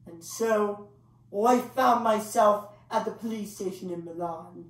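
A woman performing a dramatic monologue as a grandiloquent old English male clown, pausing for effect as he tells his bittersweet tale. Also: medium pitch, close to an everyday voice. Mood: somber reminiscence. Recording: echoey room, distant microphone.

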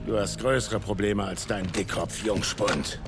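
An actor playing a sarcastic, boozy older uncle soldier with a gruff drawl in a video game. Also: male voice, lowish voice, fairly even intonation, unhurried, music in the background, natural voice. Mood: sardonic. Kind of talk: German video game dub acting.

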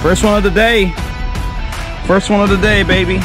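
Young male fishing vlogger, fairly high voice, excitable and loud on bites, outdoor lake sound with music under it. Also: normal speed. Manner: excitable, hollers when a fish bites. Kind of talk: casual on-the-water commentary. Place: kayak on an open lake outdoors. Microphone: action camera on the kayak.

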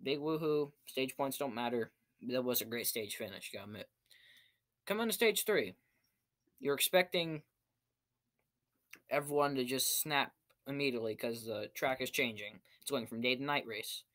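A man's voice: mid-range voice, normal speed, pauses often, a bit bright. Young man giving a choppy casual recap. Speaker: amateur race reviewer. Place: small cluttered room indoors.

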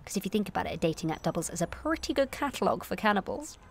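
A woman in her late twenties performing a confessional comic monologue in a candid, wry, self-questioning way, moving between confiding and animated. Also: mid-range voice, very fast, a little hiss or hum. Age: late 20s. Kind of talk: confessional comic audio monologue, present-tense narration.

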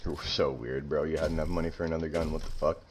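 A man's voice: extremely low pitch, fairly even intonation, quick pace, very noisy. Laid-back man streaming a shooter game. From Canada. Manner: loose, joking, swears freely. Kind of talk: casual gaming chat with teammates. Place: small room at home. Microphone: headset.

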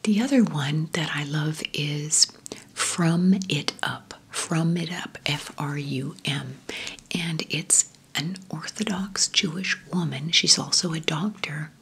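Woman, soft unvoiced whisper, low, unhurried, airy, gentle ASMR chat. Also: gravelly, breathy edge. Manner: slow, gentle, affectionate rambling with fillers. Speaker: ASMR creator.

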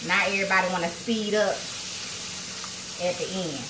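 A playful woman chats with her viewers, calling them y'all as she cooks. She speaks into a phone on a small tripod in her home kitchen. The sizzle of frying grease comes through clearly around her voice. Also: lowish voice, fairly even intonation, normal speed, background noise. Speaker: cooking show host. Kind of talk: casual livestream cooking chat. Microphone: phone on a tripod, close to arm's length.

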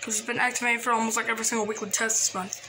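A woman casually showing off a hobby collection, halting and trailing off, recorded on a handheld phone indoors. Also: fairly high pitch, level tone. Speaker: video host showing a personal radio collection. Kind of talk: casual hobby show-and-tell. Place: small cluttered room indoors. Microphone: handheld phone camera. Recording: clicky, noisy handheld home recording.

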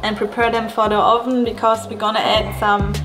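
A woman's voice: medium pitch, flat delivery, brisk, loud music behind the voice. A young woman co-hosting a home cooking show, cheerfully explaining each step of a recipe on a lapel mic in a home kitchen. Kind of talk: walking through a recipe step by step.

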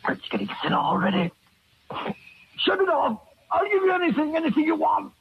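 An actor playing a desperate old villain gasping for air in a 1940s radio melodrama. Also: male voice, very high-pitched, big pitch swings, fairly quick, gravelly, exaggerated voice. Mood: frantic, panicked. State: gasping for breath. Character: trapped, suffocating old villain, frantic and desperate. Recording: dull noisy 1940s radio recording.

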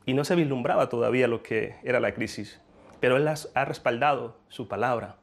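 A man's voice: medium pitch, brisk. A man, giving an earnest, faith-filled interview testimony. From Colombia. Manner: earnest, faith-laden, with restarts. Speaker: business owner interviewee. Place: indoor room before a world map.

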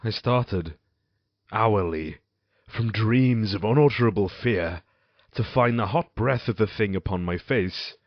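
Gothic audio drama: tormented, volatile married man, played by a male actor, measured and theatrical, dry and slightly muffled sound. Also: lowish voice, no room sound. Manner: brooding, dramatic, halting archaic diction. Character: tormented, violent-tempered married man, Gothic horror narrator.

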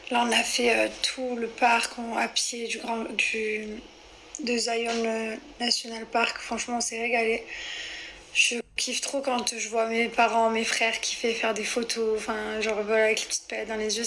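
A woman's voice: fairly high pitch, fairly bright tone, slight background noise, rough recording. A woman, chatting casually and rambling straight to her followers on a phone held at arm's length. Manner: chatty, rambling, addresses viewers directly. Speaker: lifestyle influencer. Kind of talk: casual selfie chat to followers. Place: indoors, small wooden cabin room.

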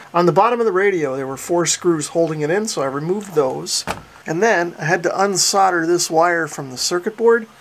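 Higher-pitched American man explaining a repair. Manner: casual, explanatory. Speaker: host of a radio repair channel. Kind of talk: instructional narration of an electronics repair.

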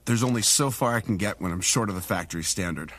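Man playing a lowish, wry war veteran. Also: fast talker, fairly bright tone, a little hiss or hum, close to an everyday voice. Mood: wry, downcast. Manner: dry, wry. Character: wry, frustrated one-legged war veteran patient. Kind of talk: radio drama acting.

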